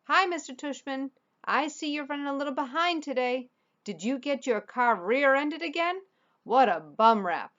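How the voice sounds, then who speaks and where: A woman voicing a fake, high-voiced old lady making singsong loudspeaker announcements in a storybook. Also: lively intonation, moderate pace, lo-fi sound. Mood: mock-official.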